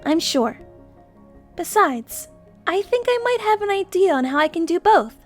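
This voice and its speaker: A woman voicing an excitable, anxious young female alicorn pony in a gushing, rambling cartoon audio drama style, over music. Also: extremely high pitch, a little slow, full character voice. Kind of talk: animated audio drama acting.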